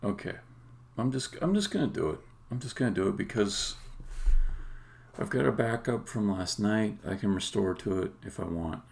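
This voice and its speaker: A man's voice: lowish voice, expressive, moderate pace, gravelly, a little mellow. A man thinking aloud hesitantly in casual live-coding commentary, as a game developer streaming his work. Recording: faint noise, keyboard typing and clicks. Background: keyboard typing and mouse clicking.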